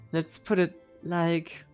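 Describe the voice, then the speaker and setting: A woman's voice: lowish voice, darkish tone. A woman streaming a game with casual, playful, teasing live reaction commentary. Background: game audio and music.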